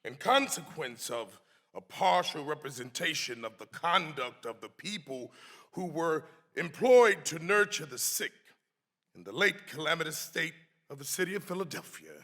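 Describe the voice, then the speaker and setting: A male actor plays a dignified 18th-century clergyman reading out a defense. His voice is bright and forceful, swinging widely in pitch, with formal, declamatory phrasing that rings through a reverberant hall. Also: animated, normal speed. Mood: solemn, resolute. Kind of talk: dramatic reading of a historical pamphlet.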